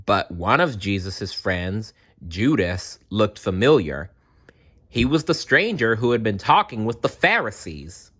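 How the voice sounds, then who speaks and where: A male storyteller reads scripted children's narration aloud. His voice sits a bit low and moves widely in pitch. He takes his time and delivers each line with dramatic expression. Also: very animated, measured pace, fairly bright tone, breathy edge.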